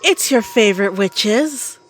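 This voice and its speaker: Woman acting a weary, husky old witch, fondly grumbling. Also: mid-range voice, animated, takes it slowly, faint music behind. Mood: wry, weary. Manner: dry, wistful, grumbling. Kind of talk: scripted audio roleplay.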